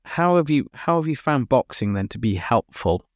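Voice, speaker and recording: A man's voice: medium pitch, very animated, normal speed, no echo, studio quality. A man hosting a podcast interview, asking questions and repeating words as he gathers his thoughts. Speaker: podcast host.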